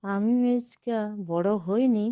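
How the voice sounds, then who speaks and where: A woman's voice: medium pitch, takes it slowly, mellow, no echo, natural voice. Woman voicing a wily, wheedling little girl. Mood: coaxing. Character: little girl, wily, coaxing niece pleading with uncle.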